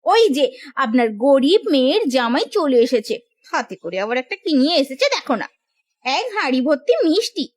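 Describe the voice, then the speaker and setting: A woman's voice: high-pitched, very animated, moderate pace. A woman playing a haughty, spiteful, melodramatic sister-in-law in a cartoon. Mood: scornful. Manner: scornful, theatrical.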